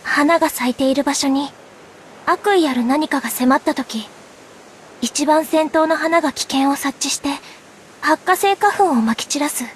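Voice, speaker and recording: A woman playing a gentle, quiet teenage girl in an anime game, speaking in a reserved, sincere way. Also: high voice, unhurried, slight background noise. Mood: calm, solemn. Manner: soft-spoken, reserved, sincere.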